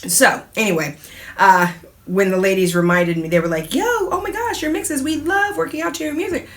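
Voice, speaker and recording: A woman's voice: mid-range voice, moderate pace. Chatty woman radio host, middle-aged, laughs a lot, goes off on asides. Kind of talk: casual solo talk show.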